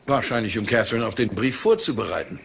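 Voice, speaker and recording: A haughty, guarded gentleman in a crime drama. His medium-pitched voice is heavy with gravel, and he speaks in a curt, precise, condescending way. Faint noise sits behind the voice. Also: very animated, quick pace. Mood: curt, disdainful. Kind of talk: German crime drama dialogue.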